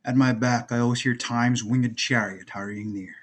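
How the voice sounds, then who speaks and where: A male actor plays a getaway driver with a wry, literary bent. His husky voice sits at a middle pitch. It is table-read drama. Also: steady tone, normal speed, close to an everyday voice. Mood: wry, detached. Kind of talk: screenplay table read acting.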